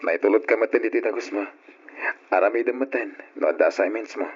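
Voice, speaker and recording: A man's voice: fairly low pitch, fast talker, music in the background, close to an everyday voice. An actor with an Ilocano accent playing a devoted, polite young working man in love, in an old radio drama. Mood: attentive, tender. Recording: muffled, noisy old radio drama recording.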